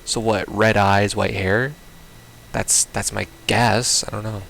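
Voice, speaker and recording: A man's voice: on the low side, steady tone, takes it slowly, gravelly, fairly bright tone, slight background noise. A man giving casual, baffled, sweary commentary as he plays through a visual novel. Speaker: gamer playing a visual novel. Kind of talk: casual playthrough commentary, reading game text aloud.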